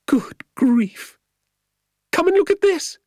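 A man voicing an indignant, excitable British vicar's wife in a dramatic, wailing manner for an audiobook. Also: high-pitched, a little fast, dry recording, studio quality, voice pushed up. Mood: excited calling. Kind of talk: audiobook character voice.

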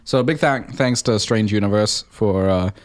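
A man's voice: fairly low pitch, normal speed. A man hosting a radio show, chatty and rambling, full of "like" and "you know". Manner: chatty, rambling, heavy on fillers. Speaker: radio host. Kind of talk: casual radio interview and chat.

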